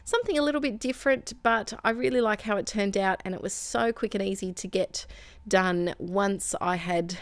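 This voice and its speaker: A woman's voice: medium pitch, moderate pace, no room sound. An Australian woman chatting through a scrapbooking process video in a voice-over, rambling with asides and corrections while losing her voice. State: losing her voice, throat sore. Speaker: scrapbooking channel host. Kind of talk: voice-over craft process narration.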